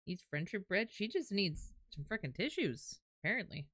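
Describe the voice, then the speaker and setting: A woman's voice: medium pitch, big pitch swings, normal speed, fairly bright tone, no echo, clean studio sound. A woman livestreamer giving casual, blunt and reactive commentary while she plays a game. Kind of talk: casual gaming stream commentary. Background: music.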